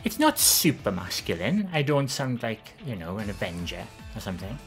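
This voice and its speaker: A woman with a Welsh accent, chatting casually on a live stream. Her voice is soft and gentle but low, and she rambles with frequent ums and restarts. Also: big pitch swings, moderate pace, faint music behind. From Wales, the Valleys. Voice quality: soft, gentle voice with a lower, less feminine tone. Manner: chatty and rambling, with many ums and self-corrections. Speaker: live streamer answering viewer questions.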